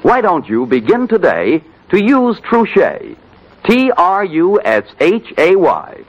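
A man's voice: sits high, huge pitch swings, slow, dull audio, heavily acted. A man acting as a friendly, polished, emphatic announcer in a 1940s radio commercial. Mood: persuasive. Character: friendly, persuasive male commercial pitchman.

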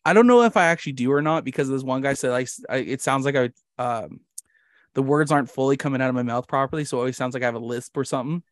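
A man's voice: mid-range voice, quick pace, no room sound, studio quality. A man with a Canadian accent hosting a podcast in casual chat, rambling, jokey and self-deprecating. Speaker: podcast host.

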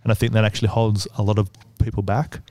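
A man with a low, smooth voice talks as a podcast guest. He has a stutter and fills his speech with likes and ums. The sound is dry and close. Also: level tone, quick pace, dark tone, no room sound. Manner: hesitant, filler-heavy, stuttering. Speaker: guest, business owner. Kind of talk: casual podcast conversation.

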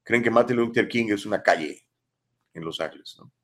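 A man in his fifties hosts a live talk show, reading and answering viewers' comments. His voice sits fairly low, and he talks in an animated, emphatic way, full of rhetorical questions. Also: moderate pace. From Mexico. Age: 50s.

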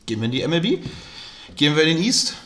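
A man's voice: fairly high pitch, sing-song, very fast, a bit bright, reverberant. A man co-hosting a podcast, chatty and joking in a casual exchange. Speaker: podcast co-host. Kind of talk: casual chat.

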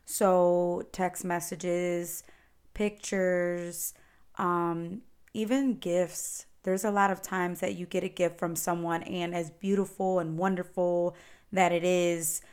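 A woman, hosting a solo podcast and chatting warmly as she answers listener questions. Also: lowish voice, flat delivery, slow, smooth. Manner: chatty, encouraging, advice-giving. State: slightly raspy voice. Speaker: podcast host.

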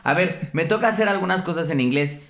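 A man's voice: fairly high pitch, animated, a bit bright. Joking, profane Mexican man in echoey room. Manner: profane, joking, quick to laugh. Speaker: podcast co-host. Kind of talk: casual crude banter.

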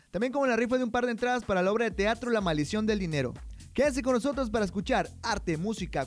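A young man with a Mexican accent presenting and narrating a scripted radio drama. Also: high voice. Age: young adult.

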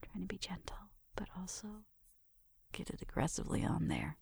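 A woman performing an ASMR roleplay in a gentle, breathy whisper, murmuring through each step close to the microphone. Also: fairly low pitch, huge pitch swings, moderate pace, no echo. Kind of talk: ASMR roleplay, soft personal attention. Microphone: close microphone, dry sound.

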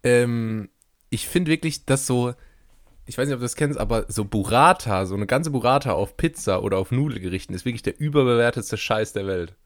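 A man's voice: medium pitch, lively intonation, a little fast, no echo. A dry, rambling man in casual chat. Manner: rambling, hesitant, ironic. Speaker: podcast co-host.

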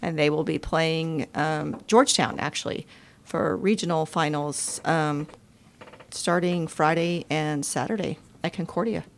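Chatty upbeat woman, fairly low voice. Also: steady tone, a little slow, creaky, slight background noise. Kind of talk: casual podcast chat.

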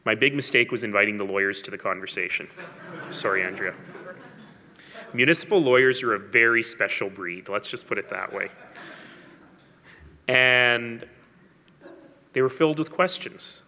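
A man's voice: medium pitch, moderate pace, pauses often, pushed and bright. A man giving a public speech as a mayor, telling stories with wry asides and emphasis. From Canada. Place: large hall. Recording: long hall reverb.

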